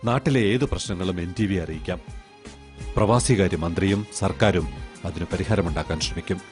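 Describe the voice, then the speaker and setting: A man's voice: low voice, a little fast, faint music behind. A man reading a scripted television promo as its announcer.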